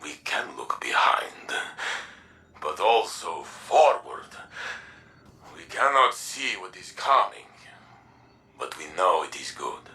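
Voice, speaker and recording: A man's voice: low voice, very animated, heavily acted. A man playing a sentimental warrior in love in a video game, nostalgic and tender, slightly distant over music. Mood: hopeful, loving. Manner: slow, warmly nostalgic, halting syntax. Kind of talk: video game character dialogue.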